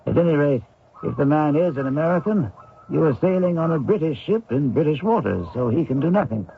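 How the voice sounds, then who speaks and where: A genteel, inquisitive doctor in a vintage radio play. His voice is gravelly and husky at a medium pitch, measured and probing, on a thin, tinny recording. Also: expressive, heavily acted. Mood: probing, reassuring. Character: courteous, inquisitive male ship-travelling doctor. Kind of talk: old-time radio drama acting.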